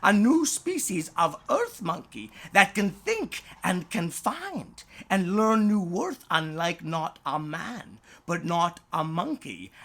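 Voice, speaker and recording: Slow, husky theatrical storyteller. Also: medium pitch, a bit bright. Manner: theatrical, drawn-out phrasing with dramatic swoops. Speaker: storyteller, author reading own story. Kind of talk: dramatic rhyming bedtime story narration.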